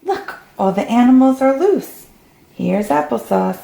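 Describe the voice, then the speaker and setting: A woman's voice: on the high side, lively intonation, a little slow, gravelly, warm tone. A woman reading a storybook aloud to young children. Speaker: storybook reader. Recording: roomy, echoey recording.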